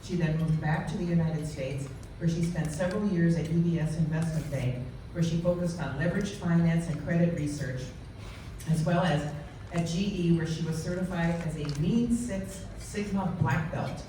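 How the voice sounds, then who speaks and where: A woman reads a formal introduction at a steady pace, reading out a list of credentials. Her voice sits low, and she is heard from a distance. The room is very echoey, with clear noise underneath. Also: moderate pace. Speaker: club member introducing the speaker. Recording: echoey room, recorded from far off.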